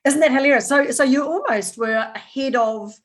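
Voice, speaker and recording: Female host, somewhat high voice, upbeat, encouraging interviewer. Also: moderate pace. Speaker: podcast host. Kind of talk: interview hosting. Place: remote call.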